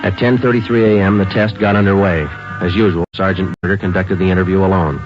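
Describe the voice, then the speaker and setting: A male actor as a very low-pitched American police sergeant, terse and deadpan. He speaks in clipped, factual sentences in old radio drama style, on a dull vintage recording with music behind. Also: quick pace, natural voice. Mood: flat narrating. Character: matter-of-fact male police detective sergeant who narrates.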